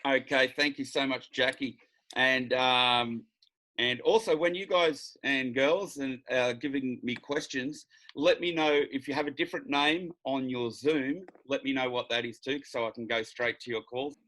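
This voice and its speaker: A man's voice: medium pitch, fairly even intonation, measured pace, pushed and bright, poor audio. A man hosting an online question-and-answer session, introducing callers and giving them instructions. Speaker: host moderating callers.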